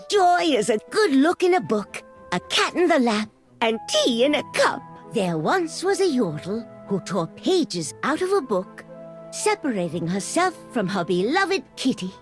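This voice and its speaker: A whimsical little granny creature from a video game, very high in pitch and full of melodic swoops. She is doting and hospitable, a touch forgetful, and music plays behind her. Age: older. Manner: gentle, doting, hospitable, quaint. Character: kindly, forgetful, whimsical grandmotherly yordle lady who loves tea. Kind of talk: video game character voice lines.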